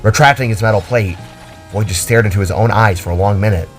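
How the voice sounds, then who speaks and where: A man's voice: fairly low pitch, quick pace. Man dramatically narrating fantasy over faint music. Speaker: narrator, storyteller.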